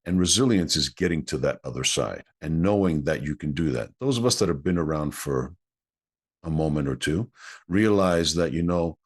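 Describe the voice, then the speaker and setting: A man's voice: extremely low pitch, animated, moderate pace. A man whose first language is Greek, an executive coach answering interview questions in an earnest, explanatory way.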